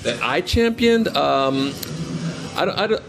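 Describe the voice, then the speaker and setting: A man answering interview questions casually as a game developer, haltingly thinking aloud. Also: on the high side, sing-song, gravelly, noisy background. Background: music.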